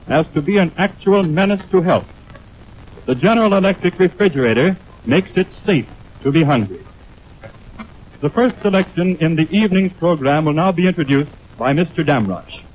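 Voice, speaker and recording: A man announcing formally from a script on 1920s radio, in a measured, declamatory manner, on an old band-limited recording. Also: a little high. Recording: tinny, band-limited 1929 radio aircheck.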